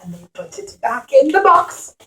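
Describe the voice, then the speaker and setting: A woman telling a Bible story to young children in an expressive, teacherly way, with simple repeated phrases and questions. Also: high-pitched, moderate pace.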